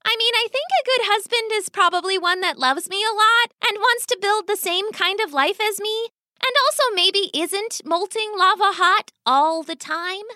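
A woman voicing a young female rat, a patient, lovesick daughter with a little squeaky voice, in a children's story. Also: moderate pace, bright, forceful tone, no echo, clean studio sound. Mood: patient, reasoning. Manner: patient, reasoning, youthful.

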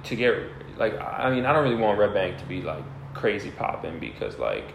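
A man's voice: lowish voice, big pitch swings, brisk, creaky, echoey room, a little hiss or hum, low-quality recording. A young man from New Jersey chatting casually, rambling and searching for his words. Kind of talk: casual chat.

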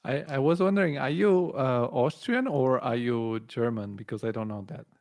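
A man's voice: medium pitch, moderate pace, a little mellow, dry recording. A man born in Bulgaria and raised in Italy, hosting a podcast interview in a casual, rambling way, searching for words. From born in Bulgaria, grew up in Italy. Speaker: podcast host.

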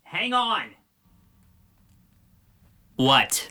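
A man's voice: a little high, sing-song, normal speed, bright, forceful tone, exaggerated voice. A man playing a resentful, sarcastic young son in an audio drama, sullen and grudging in manner. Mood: irritated.

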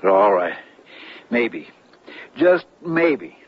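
A man's voice: fairly low pitch, sing-song, very slow, full character voice. An actor playing a flustered, earnest CB radio buff who stammers and insists his tall tale is true, in 1970s radio drama style. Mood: earnest insistence. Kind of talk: 1970s radio drama acting, recounting a story. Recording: dull 1970s radio broadcast, music behind.

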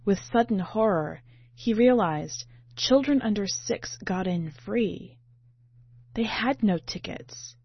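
A woman's voice: medium pitch, lively intonation, slow pace, breathy edge. A woman narrating a literary short story as a podcast reader. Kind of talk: literary audiobook-style narration. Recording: muffled, roomy home recording.

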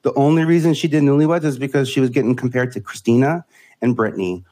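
A middle-aged man, a media advisor and guest on a podcast, talking in a rambling, animated way. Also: medium pitch, fast. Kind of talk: casual interview chat.

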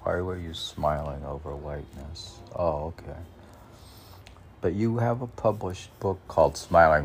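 Male poet, extremely low voice with heavy fry, musing through self-posed questions and answers; noisy amateur recording. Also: a little mellow. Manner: halting, musing, repeats questions back to himself. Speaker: poet reading and discussing his own work.

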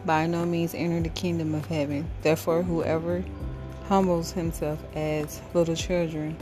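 A woman podcast host reading the Bible aloud in a devotional style, halting in short phrases with occasional stumbles. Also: low voice. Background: music under the voice.

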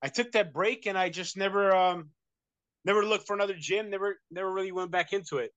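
A man's voice: high voice, fast talker, fairly bright tone, clean tone, dry recording, dull audio. A man from the United States, talking casually as an interview guest, rambling with many fillers and restarts. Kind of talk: casual conversation.

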